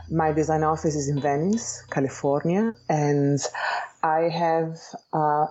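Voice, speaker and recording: A woman in her forties with an Italian accent, giving thoughtful, measured answers as an interview guest. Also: deep voice, dark tone, dull audio. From Italy, Bologna. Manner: thoughtful, measured, searching for words. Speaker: architect and educator, guest.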